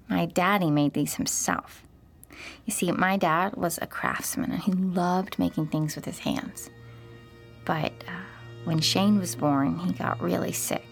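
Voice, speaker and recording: A woman playing a kind but uneasy young boy's mother, speaking gently and hesitantly in an audio drama. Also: lowish voice, a little slow, creaky, soft background music. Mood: tender. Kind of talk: naturalistic audio drama acting.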